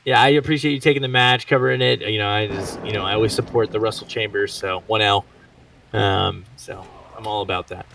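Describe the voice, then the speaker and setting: A man's voice: lowish voice, fairly even intonation, fairly quick, gravelly, recorded from a distance, background noise. A man dropping into a live game stream to banter with the caster. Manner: joking, friendly banter. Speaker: caller joining the stream.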